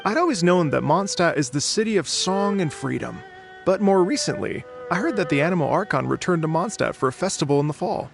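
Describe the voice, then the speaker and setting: A gravelly, weary bard. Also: male voice, a little high, animated, over music. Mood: eager. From Fontaine. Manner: measured, earnest storytelling. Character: weary middle-aged male bard, earnest storyteller. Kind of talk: video game dialogue.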